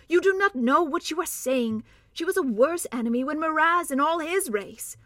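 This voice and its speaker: A woman's voice: high-pitched, lively intonation, normal speed, fairly bright tone, no echo, clean studio sound, pitched above the performer's own voice. Brief: a woman voicing a devout old badger, firm and reproving. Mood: earnest. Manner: earnest, reproving. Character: loyal old male badger, grave and pious.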